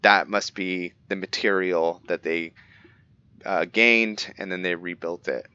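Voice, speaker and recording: A man's voice: low voice, fairly even intonation, takes it slowly, sharp tone, clean tone, no room sound, muffled recording. A man playing a roleplaying game, reading in-game books aloud and stopping to comment, often stumbling over names and restarting words. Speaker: roleplaying gamer.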